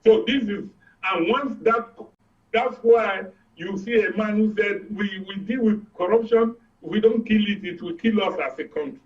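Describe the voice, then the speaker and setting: High-pitched Nigerian man, emphatic, distant mic. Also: normal speed. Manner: emphatic, explanatory, repeats for effect. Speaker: guest commentator. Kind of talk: opinionated political discussion. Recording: dull, distant studio audio.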